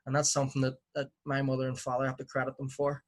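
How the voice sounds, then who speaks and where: Flat-toned Belfast man telling his story. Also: mid-range voice, fast. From Northern Ireland. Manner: reflective, wry humor. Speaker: podcast guest. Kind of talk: relaxed interview storytelling.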